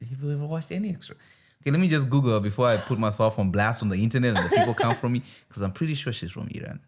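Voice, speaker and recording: A man's voice: rapid-fire, slight background noise. A man hosting a podcast in casual chat, playful and teasing. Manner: playful, teasing, argues with animated pitch swings. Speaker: podcast host.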